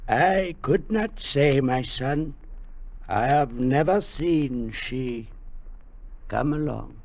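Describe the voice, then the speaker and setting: Creaky, grave old robed elder. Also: male voice, medium pitch. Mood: solemn. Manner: formal, measured, solemn speech. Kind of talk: 1940s radio acting. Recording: muffled vintage 1940s radio recording.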